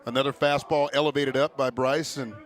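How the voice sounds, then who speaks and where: Casting: American man, average pitch, conversational sports analyst who explains between plays. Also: steady tone, a little fast. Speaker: color commentator. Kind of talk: baseball analysis commentary.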